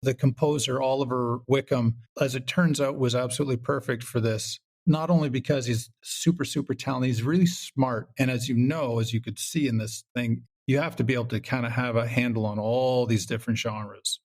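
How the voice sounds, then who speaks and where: A man's voice: medium pitch, fairly even intonation, a little fast, hardly any pauses, a little mellow. A man talking casually as an interview guest, a writer explaining his work and acting out little asides. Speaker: guest, writer and creator of audio comedy.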